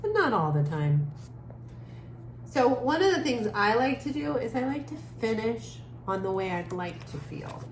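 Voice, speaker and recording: An echoey, noisy room recording of a woman leading a creative drawing lesson for children. She speaks slowly at a middle pitch. Her manner is gentle and casual, thinking aloud with trailing pauses as she works. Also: big pitch swings. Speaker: art teacher for children. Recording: echoey room with clear background noise.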